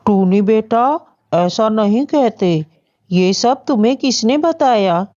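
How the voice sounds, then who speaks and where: A woman's voice: fairly high pitch, lively intonation, slow, warm tone, lo-fi sound. A woman voicing a tender, worried widowed father bird in a children's cartoon story, earnest and pleading. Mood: tender, concerned.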